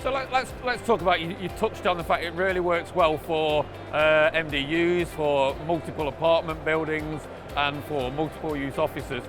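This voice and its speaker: A man's voice: fairly high pitch, steady tone, normal speed, over music. A man presenting a conversational product interview, chatty and repeating words as he frames his questions.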